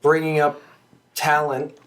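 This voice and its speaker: A man's voice: a little high, completely flat delivery, normal speed, background noise. A man leading a casual panel discussion as a podcast host.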